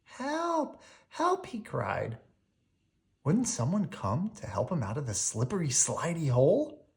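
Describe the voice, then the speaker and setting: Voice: mid-range voice, big pitch swings, a little slow, vocal fry, a little mellow. A storyteller reading a children's picture book aloud expressively, drawing out key words.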